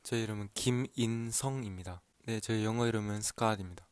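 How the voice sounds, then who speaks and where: A man from Seoul answers interview questions formally and very fast. His voice is smooth and lowish, and the sound is dry. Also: no room sound. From Seoul, Korea.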